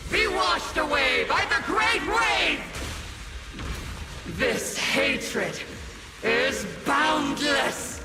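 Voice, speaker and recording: A woman's voice: high-pitched, very slow, creaky, pauses often, raised voice, full character voice. A woman playing a wrathful, grandiose female boss villain who commands undead soldiers, in dramatic anime game style. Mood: menacing rage. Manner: grandiose, menacing declamation. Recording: game audio under battle music.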